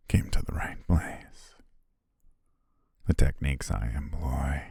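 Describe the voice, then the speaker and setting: A man playing a courteous, devious hypnotist in an ASMR roleplay, speaking in a soothing, breathy whisper with genteel, deliberate pauses. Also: dark tone, no echo, studio quality, exaggerated voice. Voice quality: whispery, breathy, very low and gravelly. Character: devious, courteous male hypnotist welcoming a client.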